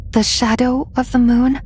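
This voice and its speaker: A woman voicing a lonely, gentle, mysterious young woman in a video game, speaking softly, haltingly and dreamily. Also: mid-range voice, slight background noise, lightly acted. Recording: faint music behind the voice. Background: soft game music.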